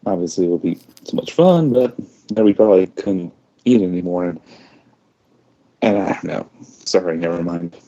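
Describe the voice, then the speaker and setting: Man as rambling lonely princess, low mellow voice. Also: moderate pace, pauses often, lightly acted. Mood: awkward, playful. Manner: rambling, self-correcting. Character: lonely, rambling young princess trying to sound cheerful. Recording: dull lo-fi microphone.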